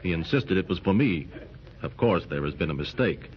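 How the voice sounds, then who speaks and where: Deep calm hero, firm and plain, dull hissy old radio. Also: male voice, normal speed. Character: steady heroic jungle lord in evening dress. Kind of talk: 1930s radio acting. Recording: dull, faintly hissy 1930s transcription.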